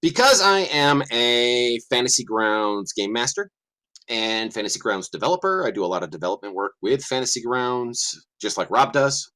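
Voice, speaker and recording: A man's voice: medium pitch, normal speed. A middle-aged man, a guest game master, chatting casually on a livestream call and cursing offhandedly as he hunts through his screen. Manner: lively, swears casually, mutters while searching his screen.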